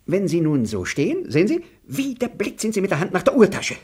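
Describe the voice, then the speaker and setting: A man's voice: sits high, huge pitch swings, a little fast, darkish tone. An actor voicing a sly, fawning and bigoted male estate bailiff in a radio play, talking in a wheedling, theatrical, giggly way. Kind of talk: German radio play acting.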